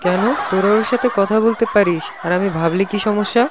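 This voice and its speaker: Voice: mid-range voice, brisk, background noise, natural voice. A performer voicing a whiny, gullible young man who complains to his friends in a comic cartoon. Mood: puzzled, sulky. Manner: complaining, aggrieved.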